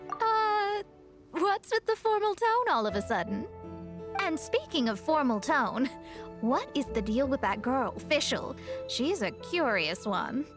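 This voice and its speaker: A woman's voice: high voice, very animated, takes it slowly, heavily acted. An actress playing an articulate, bookish young woman in a video game, inquisitive, poised and slightly formal. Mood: musing, impressed. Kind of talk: video game character dialogue. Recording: music behind the voice. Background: music.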